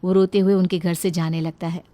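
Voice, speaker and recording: A woman's voice: fairly low pitch, level tone, brisk, dark tone, no room sound. Woman narrator, dry recording. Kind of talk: scripted story narration.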